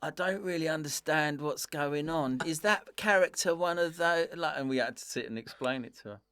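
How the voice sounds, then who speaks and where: A man's voice: a little high, normal speed, bright, forceful tone, smooth. A man telling an anecdote casually as a comedian guest.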